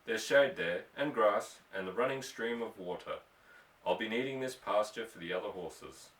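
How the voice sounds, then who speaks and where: A man's voice: on the low side, lively intonation, moderate pace, fairly bright tone. Man reading as stern, barking rancher father, echoey room. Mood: brusque, commanding. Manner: barking, withering, curt. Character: stern, short-tempered rancher father, sarcastic and gruff. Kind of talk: audiobook character voice. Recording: echoey room, distant microphone.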